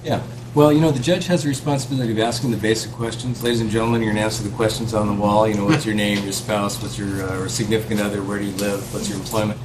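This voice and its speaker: Judge guy on a panel explaining how jury questions work, steady, room noise behind. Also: fairly low pitch. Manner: measured, methodical, gives step-by-step advice.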